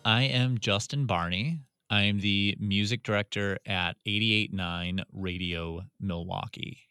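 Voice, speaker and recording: A man's voice: on the low side, steady tone, a little slow, pushed and bright, clean tone, dry recording, clean studio sound. A man, a radio music director answering interview questions in a searching way, full of restarts. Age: 30s.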